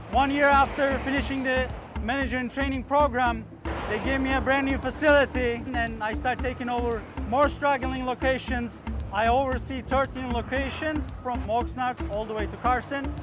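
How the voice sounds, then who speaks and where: A man with a Turkish accent talks in an earnest documentary interview, his English a little broken. His voice sits very high for a man. A clear music bed runs under his words. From Turkey. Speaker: recycling plant general manager. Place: outdoors at an industrial port.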